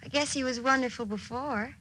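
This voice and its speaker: Modest airman's girl, 1940s radio drama, fairly high, background hiss and noise. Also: a little fast, sharp tone. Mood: shy, admiring. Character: modest, admiring young sweetheart of an airman. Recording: noisy old radio recording.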